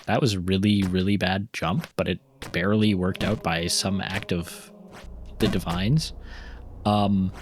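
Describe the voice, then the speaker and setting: A man's voice: deep voice, fairly even intonation, a little slow, slight background noise. A man chatting casually while playing a game, rambling with frequent ums and restarts and occasional laughs. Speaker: gaming YouTuber and novelist.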